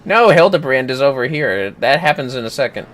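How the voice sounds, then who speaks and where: A man's voice: medium pitch, sing-song, fairly quick, a bit bright. A man chatting casually on a game livestream, joking in a playful, sweary way. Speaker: game streamer.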